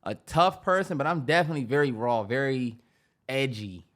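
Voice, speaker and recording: A man's voice: mid-range voice, expressive, normal speed, fairly bright tone. A young man co-hosting a podcast, talking in a laid-back, slangy way and swearing freely. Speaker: podcast co-host. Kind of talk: casual chat.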